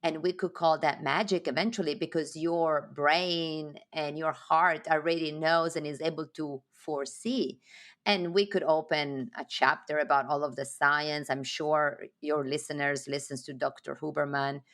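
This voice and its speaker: Woman, Italian accent, deep voice, emphatic, spiritual, interview guest. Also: steady tone, moderate pace, a bit bright. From Sardinia, Italy. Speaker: podcast guest. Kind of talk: casual interview conversation.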